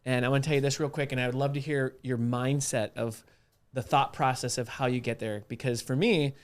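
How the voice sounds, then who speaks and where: A man's voice: mid-range voice, fairly even intonation, fairly quick. A man hosting a podcast, telling stories casually in informal, slangy speech. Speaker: podcast host. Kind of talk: casual chat, animated storytelling.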